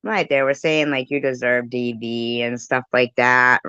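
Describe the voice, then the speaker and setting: A woman's voice: a bass voice, fairly even intonation, unhurried, bright, forceful tone, smooth, no echo, highs cut off. A woman doing casual reaction commentary in an accusatory, sarcastic way. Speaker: commentary video host. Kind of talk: casual reaction commentary on a live stream clip.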